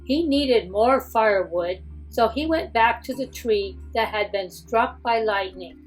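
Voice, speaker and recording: Woman reading a storybook slowly. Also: fairly high pitch, faint music behind, poor audio. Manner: slow, deliberate reading. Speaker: storybook reader. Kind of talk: dramatic storybook narration.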